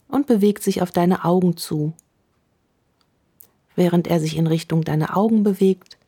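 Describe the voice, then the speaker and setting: A woman guides a meditation in a slow, soothing voice, warm and mellow in tone. Her pitch sits a little low. Her delivery stays calm and affirming.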